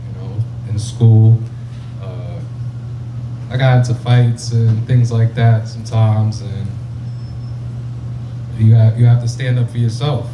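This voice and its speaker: A deep-voiced young man speaks slowly and softly, pausing often between phrases. His voice sits low. The sound is dull and echoey, with noise behind him. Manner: slow, halting, soft-spoken. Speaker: panelist. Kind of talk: panel testimony.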